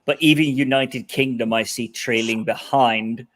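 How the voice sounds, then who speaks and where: A man's voice: mid-range voice, steady tone, unhurried, fairly bright tone. Opinionated man, English second language. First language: not English. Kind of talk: casual group chat.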